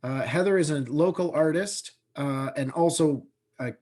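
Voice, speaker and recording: A man moderating an online panel over a video call, talking haltingly with lots of fillers. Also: on the high side, a little mellow. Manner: halting, with many fillers and restarts.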